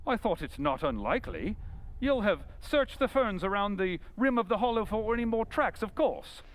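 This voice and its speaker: An actor playing a keen, self-assured English detective in old-time radio drama style, courteous, theatrical and inquisitive. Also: male voice, high voice, very animated, normal speed, low-quality recording.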